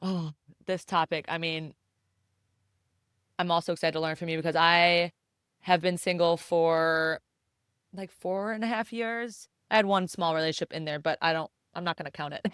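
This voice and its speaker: A young woman hosting a podcast, talking in a loose, rambling way full of "like" and "um" and the odd casual swear. Her voice is smooth and clear, recorded close and dry with no room sound. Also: lowish voice, a bit bright, no room sound. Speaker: podcast host.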